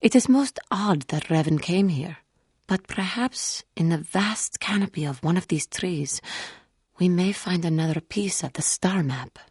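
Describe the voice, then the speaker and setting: An actress voicing a proud, solemn alien woman warrior in a video game, with formal, measured diction and a wounded, bitter temper. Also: on the low side, darkish tone, slightly rough, no room sound, clean studio sound. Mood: solemn, wary. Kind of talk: scripted game character dialogue.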